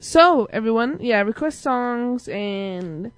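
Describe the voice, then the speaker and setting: Young woman, high voice, giggly, rambling silly chat, dry close sound. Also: lively intonation, takes it slowly, smooth, dry recording. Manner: giggly, silly, jumps between stories. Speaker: host of an online talk stream.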